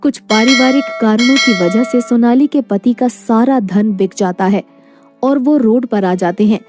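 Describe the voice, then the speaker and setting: A woman's voice: a little high, a little slow, a little mellow, soft background music. A woman narrating a scripted moral story.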